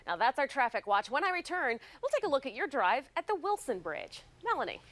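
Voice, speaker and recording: A woman's voice: sits high, very animated, brisk, fairly bright tone, dry recording. An American woman delivering a live television traffic report.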